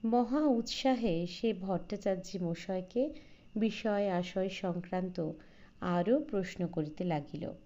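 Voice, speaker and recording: A woman's voice: medium pitch, slow, dark tone, lo-fi sound. A woman reading a literary short story as an audiobook narrator, in a scripted narration style.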